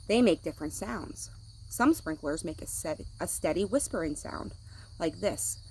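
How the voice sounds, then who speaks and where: A woman's voice: fairly low pitch, animated, moderate pace, darkish tone, a little hiss or hum, poor audio. A woman reading a picture book aloud to children, speaking simply like a teacher to young listeners. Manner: acts out sound words.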